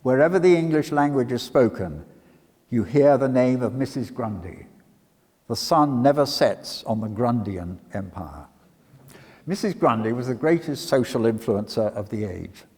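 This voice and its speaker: An older man delivers a literary lecture at a middling pitch. He is hesitant, repeating words and then landing on polished phrases. His voice carries a long church reverb. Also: darkish tone. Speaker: lecturer and dramatic reader. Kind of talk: public literary talk with read-out quotations. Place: talk in a church before an audience.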